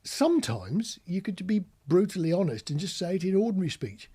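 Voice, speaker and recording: British man teaching, high-pitched and husky. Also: big pitch swings, a little fast, dark tone. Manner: explanatory, anecdotal, plain-spoken. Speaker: marine radio instructor. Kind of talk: informal spoken tutorial with practical demonstrations.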